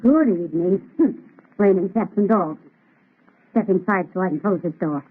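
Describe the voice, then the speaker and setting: Fussy, anxious housekeeper, raspy voice. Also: female voice, fairly low pitch, normal speed. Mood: fussy, scolding. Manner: scolding, dramatic fretting. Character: fussy, anxious, scolding housekeeper woman of a scientist. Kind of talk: 1930s radio drama acting. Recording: tinny, muffled old radio recording.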